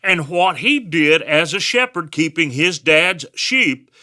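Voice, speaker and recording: Male pastor, West Texas accent, folksy storyteller, forceful voice pitched a bit high. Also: animated, slow. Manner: folksy storytelling with personal anecdotes. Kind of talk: sermon.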